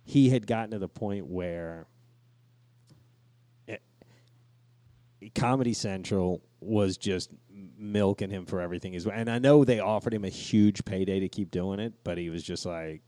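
A man with a fairly low voice talks loosely in a podcast style. He rambles through stories, swears freely and slips into quoted asides. The sound is close and dry, with little room. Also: unhurried, mellow, no echo. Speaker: podcast co-host. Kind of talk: casual podcast chat with profanity.

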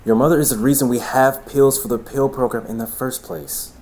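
A man's voice: mid-range voice, fairly even intonation, brisk, a little mellow, a little hiss or hum, close to an everyday voice. An actor playing an earnest, cautious and dutiful young man with a serious, admonishing way of talking in an audio drama. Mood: stern, defensive.

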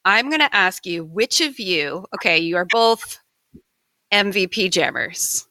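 A woman's voice: mid-range voice, lively intonation, a little slow, pushed and bright, no echo. A woman hosting a casual podcast, playful and teasing as she leads a game. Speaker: podcast host.

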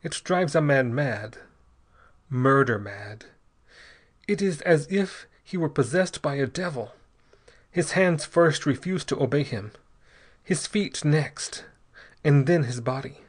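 A man voicing a tormented, formal Argentine gentleman in an adventure story, gasping and pleading in halting, unsteady phrases. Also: fairly high pitch. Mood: horrified, imploring. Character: poisoned Argentine gentleman, desperately polite, gasping, fighting madness.

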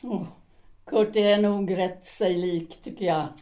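A woman's voice: medium pitch, fairly even intonation, mellow, natural voice. A woman playing a scornful bitter wife. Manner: cutting, scornful. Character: bitter middle-aged wife, former actress. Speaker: Alice. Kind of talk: audio drama acting. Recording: roomy echo.

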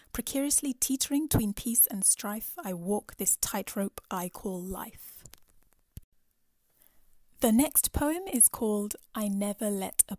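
A woman's voice: on the high side, dark tone, no echo. A woman poet introducing and reading her own poems with rhythmic, distinct phrasing. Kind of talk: poetry reading with spoken introduction.

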